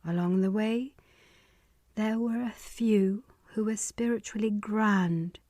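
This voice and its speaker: A woman reading a spiritual text aloud in a soft, often half-whispered delivery. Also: medium pitch, slow pace, no room sound, studio quality. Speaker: reader.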